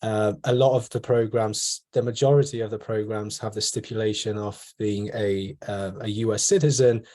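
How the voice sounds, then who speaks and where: A man in his twenties from Poland, a medical student mentoring on a video call panel, speaking in a measured way with frequent ums and you-knows. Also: lowish voice, fairly even intonation, mellow. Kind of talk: online panel talk.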